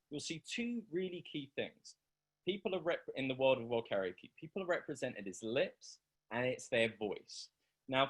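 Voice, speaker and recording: Hesitant man pitching a startup. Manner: hesitant, many fillers. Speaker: startup presenter. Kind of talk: pitch presentation.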